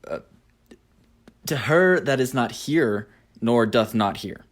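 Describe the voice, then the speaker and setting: A man acting an earnest, lovesick young nobleman in a Shakespearean radio play, speaking verse deliberately. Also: mid-range voice, animated. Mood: wistful.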